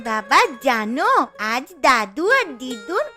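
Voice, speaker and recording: A woman voicing an excited little girl in a Bengali moral-story cartoon, drawing out her calls. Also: sits high, slow, soft background music, full character voice. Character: excited little girl, daughter and granddaughter of the house.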